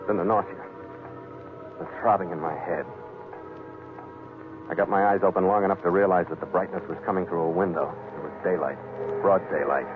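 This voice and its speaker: On a tinny old radio recording with loud music, a man plays a world-weary sea captain in a 1940s adventure serial. His voice is low, and he speaks tersely and dryly, like a hard-boiled narrator. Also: lightly acted. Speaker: lead actor and first-person narrator.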